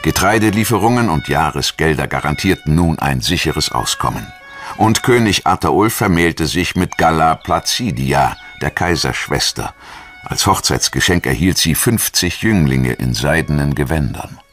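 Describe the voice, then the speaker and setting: Male documentary narrator, extremely low voice, scripted delivery, music underneath. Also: lively intonation, moderate pace, a bit bright. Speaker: documentary narrator and voice-over translator.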